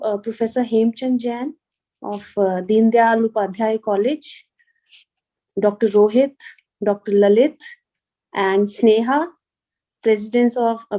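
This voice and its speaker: A middle-aged Indian woman speaks to students as a guest. Her voice is soft-edged and mellow, sitting a little high. She talks in a hesitant, reflective way, doubling back on her words. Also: poor audio. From rural Punjab, India. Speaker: guest speaker, police officer and poet. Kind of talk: informal address to an online student session.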